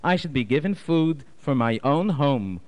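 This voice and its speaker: A man's voice: a little high, animated, unhurried. A man voicing a dignified rabbi who firmly demands his rights. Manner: firm, demanding. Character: dignified male rabbi, firm and resolute.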